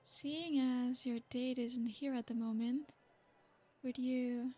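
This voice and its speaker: Female performer as an ancient noble vampire, seductive and possessive. High, even delivery, slow and formal. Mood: coaxing. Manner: soft, formal and flattering. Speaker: ASMR roleplay performer. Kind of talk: ASMR roleplay.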